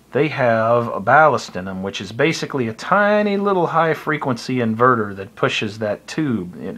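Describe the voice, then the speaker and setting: A man's voice: mid-range voice, a bit bright. A man with an American accent giving an informal, unscripted tutorial in a plain-spoken, explanatory and somewhat hesitant way. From United States. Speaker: hobbyist video presenter.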